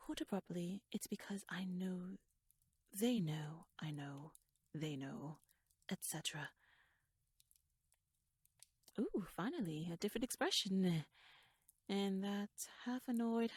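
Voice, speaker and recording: Casting: actress as wry, scheming royal lady for ASMR roleplay; husky, breathy voice, formal and wordy, very close dry sound. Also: medium pitch, a little mellow, no room sound. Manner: wordy, formal, rhetorical, musing. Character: shrewd, talkative, scheming royal noblewoman, playfully wry. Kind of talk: ASMR audio roleplay monologue addressed to the listener.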